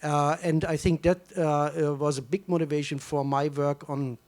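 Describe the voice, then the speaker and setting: Brief: German-accented male academic, slightly high voice, halting and thoughtful. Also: fairly even intonation, a little mellow. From East Germany. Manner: hesitant, many fillers and restarts. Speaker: panelist, history professor. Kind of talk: panel discussion.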